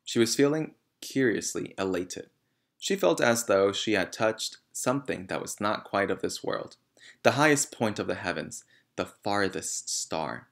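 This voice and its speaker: A man's voice: lowish voice, expressive, a little slow, creaky, a bit bright. A man reading a children's novel aloud as narrator. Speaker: reader.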